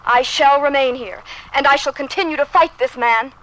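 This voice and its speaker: High-voiced, resolute woman editor. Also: fairly bright tone, rough recording. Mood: resolute, indignant. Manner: formal, deliberate, righteous. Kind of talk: 1950s radio drama acting. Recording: 1950s radio broadcast from vinyl record.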